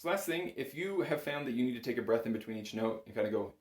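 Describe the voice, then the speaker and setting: A man's voice: mid-range voice, lively intonation, quick pace, heavy background noise. A man giving casual, reassuring step-by-step instruction as a music teacher, recorded indoors in a small room. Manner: reassuring, informal, explains step by step. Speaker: bagpipe instructor. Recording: roomy, echoing small room.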